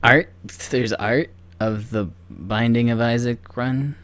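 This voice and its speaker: Young man, unhurried pace, medium pitch, casual livestream chat, rambling, self-deprecating. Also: a bit bright. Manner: rambling, self-mocking, distracted. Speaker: video game livestreamer. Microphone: microphone on a boom arm. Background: music.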